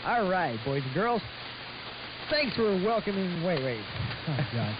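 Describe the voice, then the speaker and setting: A man's voice: high voice, big pitch swings, unhurried. A man co-hosting loose, comedic talk radio. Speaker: radio show co-host. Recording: hissy, muffled shortwave radio recording.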